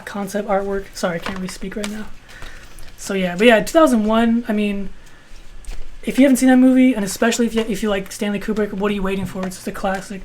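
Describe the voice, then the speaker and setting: A man in his twenties with a high-pitched voice, reviewing his film collection in a rambling, casual way with some swearing. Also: slight background noise. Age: 20s. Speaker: video host.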